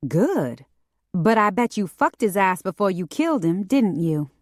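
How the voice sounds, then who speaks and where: A woman's voice: medium pitch, very animated, a little slow, no room sound, clean studio sound. A woman voicing a brash, overdramatic, jealous young woman assassin with a petulant, profane manner in an audiobook. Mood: annoyed, jealous. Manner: overly dramatic, petulant, profane. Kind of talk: audiobook character dialogue.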